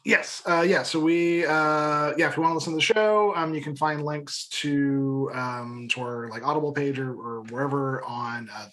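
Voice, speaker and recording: A man's voice: mid-range voice, a bit bright. A man chatting casually as a podcast guest, offhand and somewhat hesitant. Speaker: audio drama podcast creator, panel guest. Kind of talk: casual chat.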